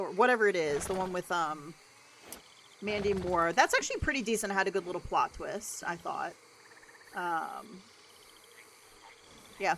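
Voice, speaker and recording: A young adult woman with a deeper voice, livestreaming a game and chatting casually with lots of "like" and "um". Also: fairly bright tone, a little hiss or hum. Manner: rambling, chatty, many "like" and "um" fillers. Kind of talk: casual chat while gaming.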